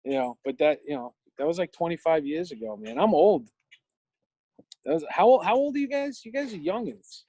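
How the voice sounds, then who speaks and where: A man's voice: a little high, animated, normal speed, warm tone, husky, no echo. A man in his forties with a New York accent, chatting as a podcast guest in a rambling way, full of fillers and casual swearing. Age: 40s. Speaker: guest, tattoo shop owner.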